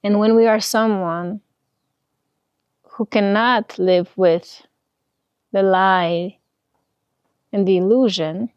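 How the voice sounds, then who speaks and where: A woman guides a meditation at an extremely unhurried pace. She sets down short phrases deliberately and soothingly, leaving pauses between them. Her medium-pitched voice sounds close and dry, with no room echo. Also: steady tone, darkish tone, smooth, dull audio. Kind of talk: guided meditation, spiritual talk.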